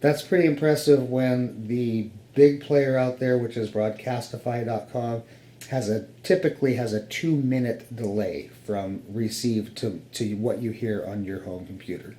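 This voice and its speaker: Mellow American man in a roomy space. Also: medium pitch, fairly even intonation, a little slow. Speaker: hobbyist radio operator presenting his setup. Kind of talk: casual explanatory equipment walkthrough. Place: home office radio room, indoors. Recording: roomy echo with faint background noise.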